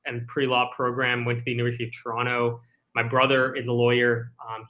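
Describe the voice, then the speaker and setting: A man's voice: medium pitch, pushed and bright, rough recording. A man in his twenties from Singapore, a startup CEO telling his story in an articulate, thoughtful way during a casual interview. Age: 20s.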